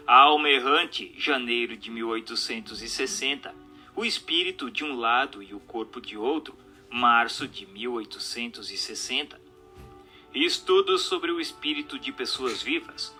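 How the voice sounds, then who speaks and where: Male narrator, mid-pitched and very bright; formal, measured scripted reading. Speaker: narrator reading a book aloud.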